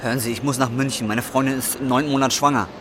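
A man's voice: mid-range voice, steady tone, fast, natural voice. An actor playing a down-and-out man in his twenties, blunt, weary and brooding, in an audio drama. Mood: defensive, pleading. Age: 20s. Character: jaded, down-and-out young man, brooding and defiant. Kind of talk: audio drama acting with inner monologue. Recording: faint background noise.

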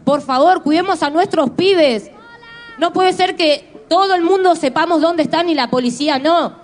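A woman's voice: very high-pitched, moderate pace. A woman with an Argentine Spanish accent, pleading passionately at an outdoor protest. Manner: impassioned, pleading.

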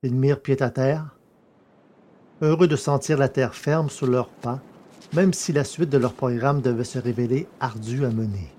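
A man with a mild sore throat narrating a scripted audio story and presenting it, subdued and low in energy. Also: fairly even intonation, normal speed, dark tone, slight background noise.

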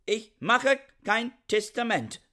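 An actor playing a pedantic, irritable scholar of about forty in a radio play, speaking in a formal, stilted, indignant manner. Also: male voice, high voice, big pitch swings, slow pace, bright, forceful tone, full character voice. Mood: outraged. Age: 40s. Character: pedantic, irritable forty-year-old male scholar, cornered husband. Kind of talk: German radio play acting.

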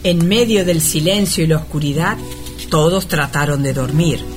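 A woman narrating a scripted radio drama in a measured storytelling manner. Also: deep voice, close to an everyday voice. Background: music.

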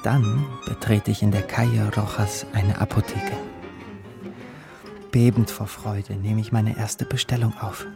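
A man's voice: fairly low pitch, level tone, unhurried, creaky, music in the background. An actor playing a desperate, brooding poor young man, telling his life in an intense, literary audio drama style. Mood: driven, hopeful. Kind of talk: first-person audio drama narration and dialogue.

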